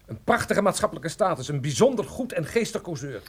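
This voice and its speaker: An actor playing an evasive, defiant drug smuggler at gunpoint in a radio drama, guarded in manner. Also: male voice, on the high side, big pitch swings, brisk, husky. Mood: grudging, subdued.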